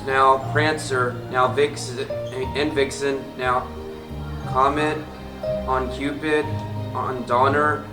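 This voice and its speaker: A man's voice: medium pitch, flat delivery, slow. A man reading a poem aloud. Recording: distant, echoey, music behind.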